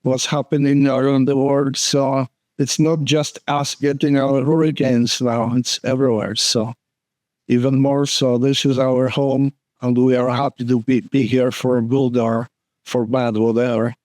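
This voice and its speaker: An older man with a Polish accent, a guest photographer answering questions in an earnest, unhurried way, often restarting his phrases. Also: mid-range voice, flat delivery, a little mellow, no room sound. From Poland. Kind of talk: casual interview.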